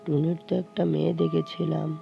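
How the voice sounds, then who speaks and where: An actor voicing a scheming, thieving sorcerer crow in a cartoon, with a creaky voice. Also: medium pitch, level tone, a little fast. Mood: sly, plotting. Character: scheming sorcerer crow thief, talking to himself. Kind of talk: cartoon voice acting. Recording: dull, lo-fi track under music. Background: plucked string music.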